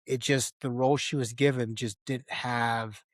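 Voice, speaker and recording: A man's voice: medium pitch, steady tone, normal speed, dry recording, clean studio sound. A man hosting a podcast in a casual, conversational film discussion. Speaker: podcast co-host.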